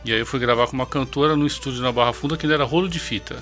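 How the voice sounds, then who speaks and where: A man's voice: medium pitch, very fast, a bit bright. A man with a Brazilian Portuguese accent, a music producer telling his story eagerly in an interview.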